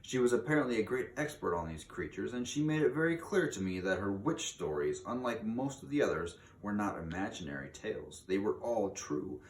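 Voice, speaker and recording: Male storybook reader, lowish voice, expressive delivery, recorded in a roomy space at a distance. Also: brisk, few pauses. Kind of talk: expressive read-aloud of a children's story. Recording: echoey room, distant mic, faint noise.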